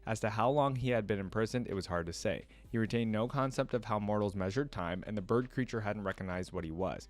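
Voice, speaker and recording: A man's voice: fairly low pitch, fast talker. A man hosting a reaction video, reading lore aloud and musing over it in casual commentary. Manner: musing, thinking out loud.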